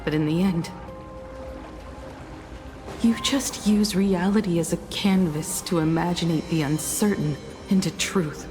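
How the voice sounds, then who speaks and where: A resolute video game heroine, acted by a woman, facing down her enemy. Her voice sits a little low, and she speaks slowly and firmly, weighing each phrase with stern confidence. Prominent music runs underneath. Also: lightly acted. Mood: accusing. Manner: deliberate, firm, confrontational, measured. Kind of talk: dramatic video game voice acting. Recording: loud game music behind. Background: game soundtrack music.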